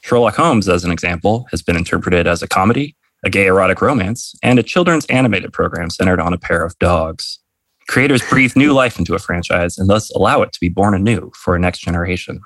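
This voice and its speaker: A man hosting a podcast, reading a measured, articulate introduction and then interviewing a guest. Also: low voice, animated, a bit bright, no echo. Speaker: podcast host.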